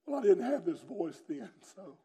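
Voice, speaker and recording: A middle-aged preacher with a booming, forceful way of talking. His voice sits high for a man but has a mellow, warm tone. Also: sing-song, moderate pace, slightly rough. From North Carolina, USA. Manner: booming, loud and strong delivery. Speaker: pastor. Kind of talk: sermon with personal testimony.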